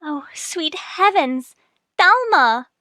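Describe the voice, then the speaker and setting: A woman playing a sprightly, warm-hearted amateur-sleuth wife who teases her husband in a radio mystery drama. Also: very high-pitched, lively intonation, drawn-out words, a little hiss or hum, full character voice. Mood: horrified.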